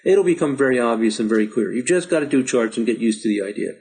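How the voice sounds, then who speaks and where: A middle-aged man who is losing his voice, patiently walking learners through a software chart tutorial and urging them to practice. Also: mid-range voice, expressive, fast talker, breathy edge. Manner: patient, repetitive, encouraging. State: slightly hoarse, losing his voice. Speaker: astrology teacher. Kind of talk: screen-share software tutorial.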